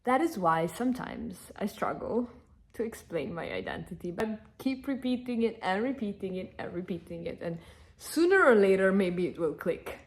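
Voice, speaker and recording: A woman in her thirties from Northern Italy, a native Italian speaker, chatting to camera in an animated, emphatic way, answering followers' questions. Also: mid-range voice, moderate pace. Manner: animated, emphatic, repeats herself. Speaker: content creator. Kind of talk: casual Q&A chat to camera.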